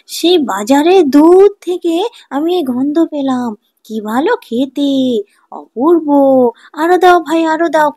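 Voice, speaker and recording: A woman acts an excitable, outspoken village customer in a cartoon fairy tale. The voice is high, emphatic and full of exclamations. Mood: delighted, eager.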